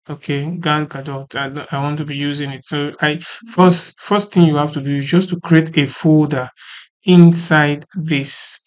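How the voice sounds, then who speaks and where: A tutorial host explaining software step by step in an informal way, repeating points and often checking that the listener follows. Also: mid-range voice. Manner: repetitive, often checking for understanding.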